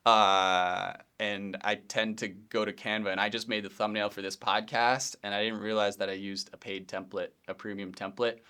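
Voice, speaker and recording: A man in his thirties from Pennsylvania, hosting a casual solo podcast from his home studio in a relaxed, rambling way with many ums and self-corrections. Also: lowish voice, normal speed, few pauses, smooth. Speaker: podcast host, video editor.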